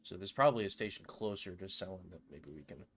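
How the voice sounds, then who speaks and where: A man with a stuffy nose from a cold, streaming a game and chatting with viewers in a relaxed way full of fillers. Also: sits low, fast talker, slight background noise. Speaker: gaming streamer. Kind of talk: casual live-stream chat while playing.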